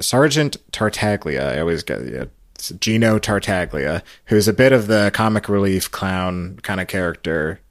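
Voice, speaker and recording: A man's voice: low-pitched, no echo, studio quality. A man hosting a podcast, recapping a story in a conversational way. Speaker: podcast host. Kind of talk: conversational recap of a radio show.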